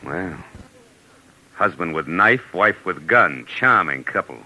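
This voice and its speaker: An actor playing a tough, cynical, wisecracking private detective in 1940s radio noir style, clipped and sardonic. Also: male voice, low voice, lively intonation, slow, dull audio, exaggerated voice. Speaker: lead actor and narrator of a radio detective drama. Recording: old 1940s radio recording with music.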